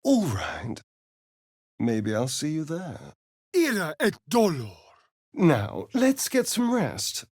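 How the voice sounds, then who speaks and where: A man's voice: huge pitch swings, takes it slowly, long pauses, a little mellow, dry recording, clean studio sound, full character voice. A man playing a sly, sardonic vampire spawn rogue in a video game, with an arch, drawling, theatrical delivery. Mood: incantatory. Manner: arch, theatrical, drawling, with big swoops in pitch. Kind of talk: video game voice lines and narration.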